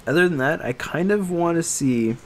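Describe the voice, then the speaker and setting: A man co-hosting a casual podcast chat about games and films, talking in a halting, meandering way that trails off. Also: fairly high pitch, expressive, slight background noise. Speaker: podcast co-host.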